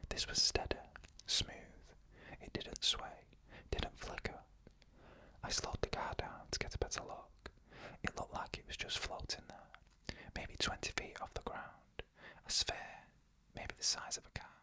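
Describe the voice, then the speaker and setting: A narrator telling creepy stories in a fully unvoiced ASMR whisper, slow and hushed, in short clipped phrases.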